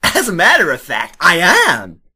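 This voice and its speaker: A performer playing a zealous, self-righteous teenage schoolboy with a husky, strained voice in an amateur comedic reading. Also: medium pitch, huge pitch swings, unhurried, bright, forceful tone, exaggerated voice. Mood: smug, earnest.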